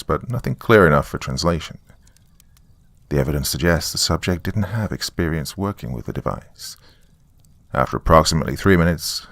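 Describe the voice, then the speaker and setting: A man narrating a scripted horror story in a grave, deliberate, dramatic way. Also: a bass voice, gravelly, a bit bright, no room sound.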